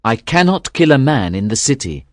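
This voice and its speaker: A man's voice: medium pitch, sing-song, normal speed, no room sound, clean studio sound, voice pushed up, natural voice. A man voicing a frightened male emperor who pleads anxiously, in an audiobook reading. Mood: pleading.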